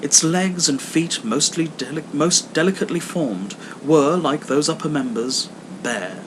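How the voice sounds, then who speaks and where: A man giving a theatrical, deliberate storybook narration with emphatic pauses. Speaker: audiobook reader. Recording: noisy amateur recording with rumbling hum.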